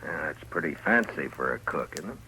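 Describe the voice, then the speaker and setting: A man's voice: a bass voice, expressive, a little fast, pushed and bright, heavily acted. An American man playing a stern, dry-witted frontier marshal in a 1950s radio western, speaking tersely and with authority. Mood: matter-of-fact. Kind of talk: 1950s radio drama acting. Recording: noisy vintage 1950s radio recording.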